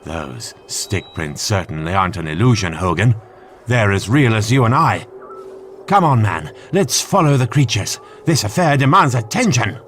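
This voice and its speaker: A man playing a resolute, curious gentleman adventurer in a comic dub, with a brisk, commanding, proper manner. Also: fairly low pitch, lively intonation, slight background noise. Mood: urgent.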